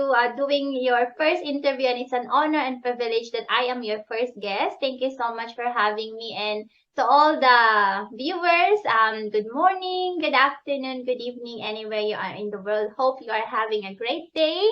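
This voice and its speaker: A woman from the Philippines with a Filipino accent, chatting in an animated, giggly way as a coach being interviewed. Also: fairly high pitch, moderate pace, few pauses, dull audio. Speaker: business coach, interview guest. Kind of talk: casual interview chat.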